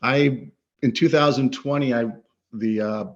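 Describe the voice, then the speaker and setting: A man in his sixties, a soccer coach being interviewed, speaking modestly and haltingly with many fillers. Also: mid-range voice, steady tone, lo-fi sound. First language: Ukrainian. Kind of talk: interview guest.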